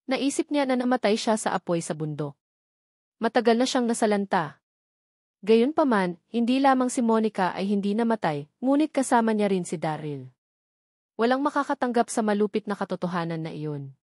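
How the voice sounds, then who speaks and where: A woman's voice: medium pitch, lively intonation, normal speed, darkish tone, dry recording, studio quality. A woman reading a scripted story as an audiobook narrator.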